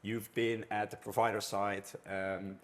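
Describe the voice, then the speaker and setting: A man's voice: fairly low pitch, level tone, measured pace. A man with a Dutch accent moderating a live conference panel, chatty and often restarting his phrases. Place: conference room with an audience.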